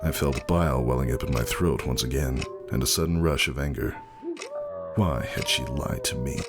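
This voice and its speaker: A man narrating a dramatic first-person story in a measured, somber manner. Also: rumbling low, lively intonation, loud music behind the voice.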